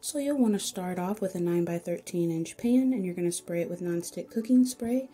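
A woman's voice: fairly low pitch, mellow, husky. A woman hosting a home cooking channel, giving chatty, encouraging step-by-step recipe voice-over.

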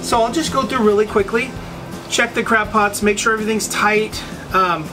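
A man in his thirties hosting a casual fishing vlog on an outdoor porch, explaining gear in a relaxed way with frequent ums. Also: sits high, normal speed, over music, poor audio. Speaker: fishing channel host.

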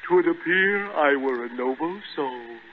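An actor playing a pompous, lazy glutton obsessed with food, speaking in flowery, mock-learned phrases on 1930s radio. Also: male voice, on the high side, lively intonation, a little slow. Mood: dry, lofty. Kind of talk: 1930s radio comedy acting. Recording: noisy, tinny 1930s radio recording.

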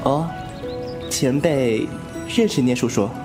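An actor playing a frank, inquisitive, cheeky young swordsman in a wuxia audio drama, with an expressive, exclamatory delivery. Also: medium pitch, slow, lightly acted. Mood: curious, playful. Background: music.

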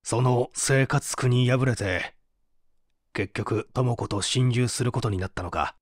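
A man voicing a stern, methodical prosecutor in a dramatic audiobook, formal and measured as he presses a suspect. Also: medium pitch, dry recording, clean studio sound, voice pushed down, natural voice. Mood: pressing.

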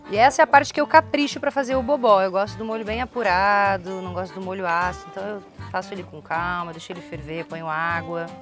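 A woman in her thirties with a Brazilian accent, casually explaining a recipe step by step in an informal, chatty way. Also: on the low side. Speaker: cooking show host. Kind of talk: casual cooking demonstration. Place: open-sided thatched outdoor kitchen. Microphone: lapel.

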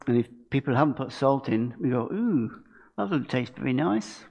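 An older man preaches from a lectern, his voice gravelly at mid pitch. He talks in a chatty way, throwing rhetorical questions to the congregation. The livestream sound is somewhat dull. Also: animated, normal speed, noisy background. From England. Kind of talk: informal sermon with questions to the congregation. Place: church hall stage at a lectern.

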